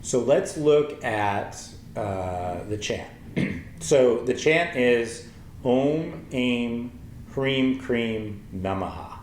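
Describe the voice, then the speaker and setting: A man in his forties with a low voice, teaching a yoga lesson slowly and gently with many pauses and ums. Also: expressive. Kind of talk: calm spoken yoga lesson with chanting. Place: small wooden-floored room with paper screen, indoors. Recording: echoey room, faint ambient noise.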